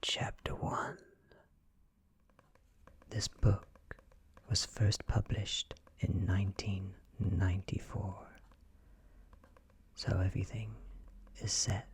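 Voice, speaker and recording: A British man reading a story aloud in a soft, breathy ASMR whisper, with hushed, deliberate phrasing and pauses. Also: deep voice, flat delivery. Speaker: audiobook-style ASMR reader.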